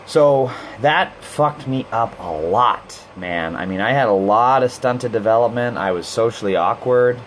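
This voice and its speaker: Man, mid pitch, blunt heated live-stream rant, swears, restarts a lot, faint hum behind. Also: measured pace, few pauses. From Costa Rica. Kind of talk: casual monologue.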